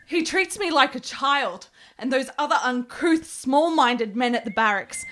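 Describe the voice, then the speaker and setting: Actress as sickly young noblewoman, higher voice, intense, brooding, ornate period radio-play diction. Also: animated, unhurried, fairly bright tone. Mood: bitter, defensive. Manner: formal, ornate, dramatic delivery. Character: ailing, bitter, melancholy young Italian gentlewoman, intense and morbid. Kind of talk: period radio drama acting.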